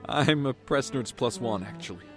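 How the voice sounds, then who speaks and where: A man's voice: medium pitch, animated, moderate pace, over music, natural voice. A man playing a frustrated, sardonic gamer in his late twenties, dry and grumbling, in an audio drama. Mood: dry, friendly. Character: frustrated, sardonic 28-year-old male gamer in wheelchair.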